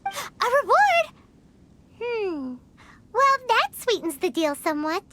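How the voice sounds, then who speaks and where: A squeaky fairy sidekick. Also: female voice, huge pitch swings, takes it slowly, bright, forceful tone, full character voice. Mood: teasing. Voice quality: squeaky, childlike. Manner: panicky, babbling, theatrical. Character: tiny floating female fairy companion, excitable and dramatic. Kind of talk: video game voice acting.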